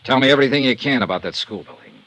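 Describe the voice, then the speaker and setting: A man's voice: mid-range voice, expressive, rapid-fire, bright, forceful tone, poor audio. An actor playing a tough, sardonic insurance investigator in a 1950s American radio drama, clipped and firm in his delivery. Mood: probing. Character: dogged, sardonic freelance insurance investigator, adult man, tough and unafraid. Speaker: lead actor and narrator. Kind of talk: 1950s American radio detective acting and narration. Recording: vintage radio drama recording, music cues.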